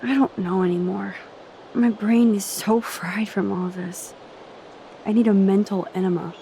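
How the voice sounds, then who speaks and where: A woman's voice: mid-range voice, fairly even intonation, a little slow, warm tone, slight background noise, close to an everyday voice, low-quality recording. An actress voicing a thoughtful, geeky, self-doubting teenage girl in a video game, earnest and bookish with some wry humor. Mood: weary, unsure. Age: young adult. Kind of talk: naturalistic video game acting.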